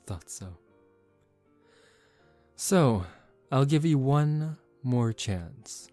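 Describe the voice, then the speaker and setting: A man voicing a suave, condescending young male vampire in a soft-spoken ASMR roleplay, calm and teasing. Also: mid-range voice, very slow. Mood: smug, persuasive. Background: relaxing background music.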